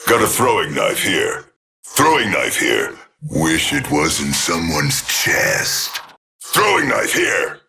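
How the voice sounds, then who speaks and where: A man voicing a grim, bloodthirsty killer with a gravelly rasp in a video game. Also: deep voice, wildly swooping pitch, slow, sharp tone, full character voice. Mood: menacing. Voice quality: gravelly, rasping. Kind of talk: video game character callouts.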